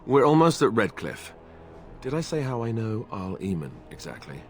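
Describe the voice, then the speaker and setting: An actor playing an awkward, earnest young warrior in a fantasy video game, speaking in a hesitant, self-effacing, conversational way. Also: male voice, fairly low pitch, very animated, normal speed. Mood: nervous, awkward. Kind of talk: video game cutscene acting.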